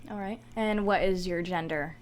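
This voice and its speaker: A woman's voice: fairly low pitch, normal speed. A woman interviewing a guest, asking straightforward questions and answering with brief affirmations.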